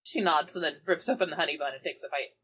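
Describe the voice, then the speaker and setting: A woman's voice: sits low, expressive, fast, fairly bright tone, slightly rough. A woman casually improvising narration and dialogue as a player in a tabletop roleplaying game, informal and conversational.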